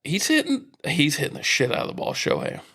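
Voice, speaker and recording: A man's voice: fairly high pitch, wildly swooping pitch, fairly quick, creaky, pushed and bright, slightly rough. A man co-hosting a sports podcast, chatting casually with slangy, profane fan talk.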